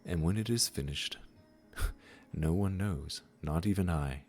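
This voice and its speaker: A man playing a gentle, wise supernatural gardener in a comforting audio roleplay, speaking soothingly and reassuringly straight to the listener. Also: sits low, soft background music. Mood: reassuring, fond. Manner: soothing, unhurried, reassuring, with soft chuckles.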